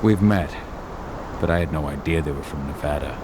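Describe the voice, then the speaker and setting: An actor playing a gruff, stoic, guarded werewolf eco-warrior in a video game cutscene. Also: male voice, very deep voice, brisk, background noise.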